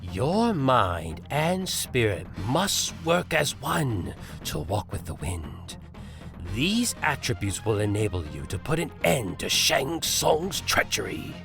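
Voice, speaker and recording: A man's voice: mid-range voice, big pitch swings, slow pace, creaky, over music, exaggerated voice. A man playing a solemn old Shaolin master, grave and formal, in a comic dub.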